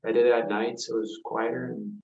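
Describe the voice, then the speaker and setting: A man, an actor, answering casually and haltingly in a video call Q&A. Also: lowish voice, flat delivery, creaky, darkish tone. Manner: halting, hesitant. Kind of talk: casual Q&A discussion. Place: echoey room on a video call. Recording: roomy, dull video-call audio.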